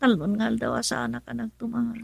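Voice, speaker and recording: A woman's voice: a little high, expressive, fairly quick, creaky, a little mellow, breathy edge, no room sound, full character voice. A woman playing a young adult daughter who questions her mother and sounds weary from illness in a radio drama. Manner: questioning, then faint and tired.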